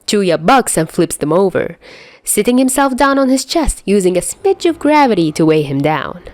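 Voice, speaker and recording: A woman narrating fan fiction in an expressive storytelling style. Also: mid-range voice, moderate pace, dry recording. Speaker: podfic narrator.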